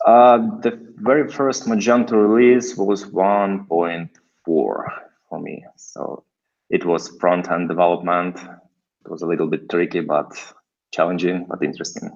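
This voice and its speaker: A man's voice: low-pitched, steady tone, unhurried, darkish tone, highs cut off. A man from Ukraine with a Ukrainian accent, a guest developer answering interview questions over a video call, hesitant and full of fillers. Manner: hesitant, many fillers and restarts.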